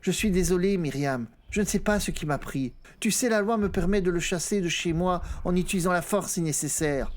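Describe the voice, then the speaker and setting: An exasperated husband in an audiobook tale, played by a male reader, with a fairly high voice. He is defensive and apologetic, pleading his case as he justifies himself. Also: a little fast, few pauses, natural voice.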